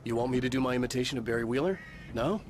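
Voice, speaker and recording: An actor playing a terse, sardonic American writer hero, narrating and talking in a video game with a dry, serious manner. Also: male voice, mid-range voice, rapid-fire, noisy background, natural voice. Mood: dry, resolute. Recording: loud music behind the voice.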